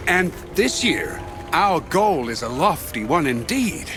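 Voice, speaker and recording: An actor playing a worried, courteous town official in a medieval fantasy game, speaking in a formal, wistful manner. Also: male voice, a little high, big pitch swings, slow pace, music in the background. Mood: courteous, earnest. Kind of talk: video game voice acting.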